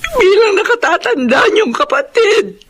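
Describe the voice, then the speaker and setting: Guilt-ridden Filipino eldest sister. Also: female voice, extremely high pitch, big pitch swings, a little slow, a bit bright, heavily acted. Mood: guilty, remorseful. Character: guilt-ridden eldest sibling confessing painfully. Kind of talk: Filipino radio drama acting.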